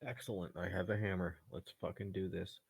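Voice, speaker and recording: A man plays a tabletop game and talks casually with the group. His voice is deep and full of gravelly creak. He is blunt and swears freely. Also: level tone, a little fast.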